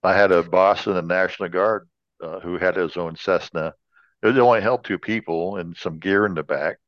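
Deep-voiced American man chatting. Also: moderate pace, no echo, lo-fi sound. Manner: chatty, anecdotal, joking. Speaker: podcast co-host. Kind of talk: casual chat.

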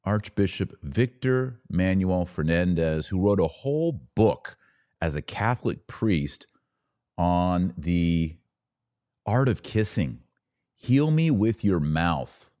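A man's voice: low-pitched, sing-song, slow pace, warm tone, no echo, studio quality. A middle-aged American man hosting a podcast, giving opinionated religious commentary in an informal, slangy, mocking and incredulous way.

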